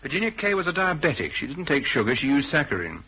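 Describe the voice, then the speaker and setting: An actor playing a shrewd private detective in a radio drama, measured, probing and authoritative. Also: male voice, on the high side, big pitch swings, pushed and bright, breathy edge, full character voice, rough recording. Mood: brisk, assured.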